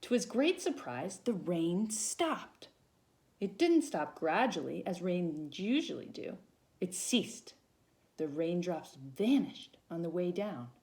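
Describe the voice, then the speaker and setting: A woman reading a children's storybook aloud as a volunteer, with dramatic pauses and emphasis. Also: fairly low pitch, very animated, a little mellow.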